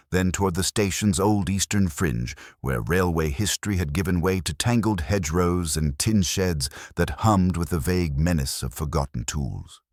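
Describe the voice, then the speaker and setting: A man narrating a scripted detective story in a measured, dramatic manner. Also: low voice, no room sound, clean studio sound.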